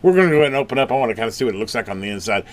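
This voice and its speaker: A man's voice: medium pitch, animated, very fast. A man talking casually and off the cuff as he hosts a hands-on electronics review and repair, thinking aloud as he works. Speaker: electronics repair video host.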